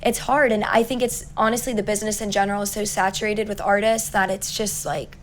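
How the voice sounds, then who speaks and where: A young woman with a raspy voice, a singer-songwriter chatting upbeat as an interview guest, full of likes and ums. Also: medium pitch, fairly even intonation, a little fast, a little hiss or hum. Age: young adult. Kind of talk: casual interview chat.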